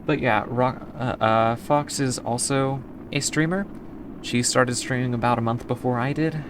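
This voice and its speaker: A woman's voice: extremely low pitch, steady tone, unhurried, noisy background. A woman from the United States streaming a game and chatting casually with her viewers, easygoing and rambling as she answers chat between moves.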